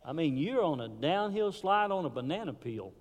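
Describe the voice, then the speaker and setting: A high-voiced man delivers a sermon from a church pulpit, his voice ringing out with a long hall reverb. He preaches with fervor, then drops suddenly to a near-hush. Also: sing-song, normal speed. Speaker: pastor. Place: church sanctuary.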